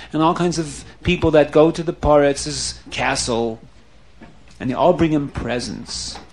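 A wise old holy rabbi, as a male storyteller puts him on, calm and assured. He instructs gently and without hurry. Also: a little high, close to an everyday voice.

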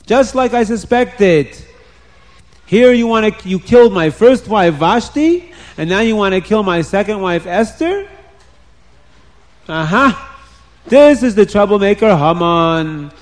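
Man playing a loud, indignant storybook king. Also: a little high, normal speed, few pauses, natural voice. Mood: angry, accusing. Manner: exclaiming, emphatic, accusing. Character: blustering, indignant, hot-tempered king of a children's tale.

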